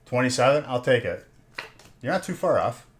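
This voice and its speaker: A man's voice: medium pitch, very animated, normal speed, background noise. A man in his thirties hosting a live stream, chatting casually and jokingly teasing his viewers while he opens card packs. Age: 30s. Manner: teasing, joking banter with chat.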